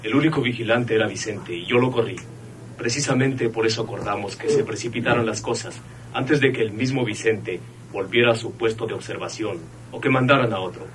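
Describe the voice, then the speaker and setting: An actor playing an earnest, respectful son informing the police in a radio drama. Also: male voice, fairly low pitch, fairly quick, a bit bright, natural voice. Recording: distant mic, faint noise.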